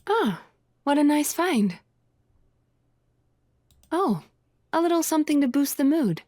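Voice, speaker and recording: A woman's voice: high-pitched, big pitch swings, slow pace, darkish tone, no room sound, clean studio sound, exaggerated voice. A woman voicing a sweet, soothing young female healer in a video game. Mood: sweet, pleased.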